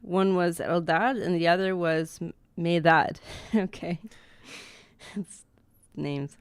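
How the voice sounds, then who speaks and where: A woman's voice: fairly low pitch, steady tone, slow, clear, resonant tone, no echo. A woman guest on a comedy podcast, reading scripture aloud with playful drama and chatting in between. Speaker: guest reader.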